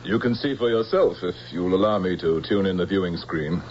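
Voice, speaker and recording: An actor playing a dutiful, formal general in a vintage radio drama, deferential in manner. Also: male voice, sits low, brisk, a little hiss or hum, natural voice. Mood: formal, proud. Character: dutiful, formal male general of a space fleet. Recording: muffled old radio recording.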